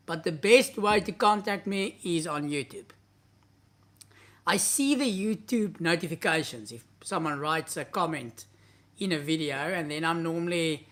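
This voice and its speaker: A man's voice: on the high side, pushed and bright. Afrikaans-accented man in his thirties who hosts a live Q&A from his home study, talking to a webcam; blunt and chatty as he reads and answers viewers' questions. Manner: candid, blunt and conversational, gestures while talking. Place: indoor home study with bookshelves. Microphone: webcam at a desk, seated.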